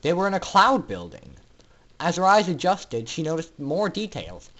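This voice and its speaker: A man's voice: high-pitched, sing-song, normal speed, fairly bright tone, highs cut off. A man reading a story aloud as narrator in a theatrical, dramatic style. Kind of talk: dramatic scripted fan fiction narration.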